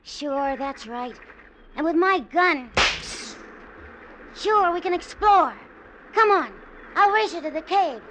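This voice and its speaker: A woman's voice: very high-pitched, lively intonation, slow, pauses often, exaggerated voice. A woman playing an eager but cautious ten-year-old boy, chattering in boyish slang in 1940s radio acting. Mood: excited. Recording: muffled, faintly noisy old radio recording.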